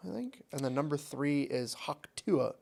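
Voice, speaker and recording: A man's voice: on the high side, lively intonation, normal speed, vocal fry, a little mellow. A man with an American accent hosting a casual podcast, talking in a blunt, informal, sweary way. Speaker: podcast host.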